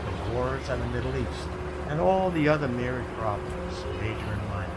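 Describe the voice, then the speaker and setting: A man playing a reflective radio drama narrator who looks back with regret in a measured, deliberate delivery. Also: mid-range voice, animated, close to an everyday voice. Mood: rueful, reflective. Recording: clearly noisy recording.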